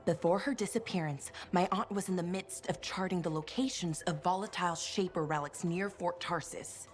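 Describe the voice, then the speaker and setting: A woman's voice: lowish voice, normal speed, faint music behind, close to an everyday voice. A woman playing an impulsive, determined young adventurer in a video game, earnest and spirited. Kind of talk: video game cutscene acting.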